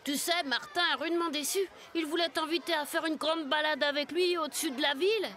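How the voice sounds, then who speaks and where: A woman's voice: sits high, steady tone, a little fast, fairly bright tone, a little hiss or hum. A woman voicing a cheeky, scheming nine-year-old boy in a children's cartoon, slangy and cocky. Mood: sly scheming. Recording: music behind the voice.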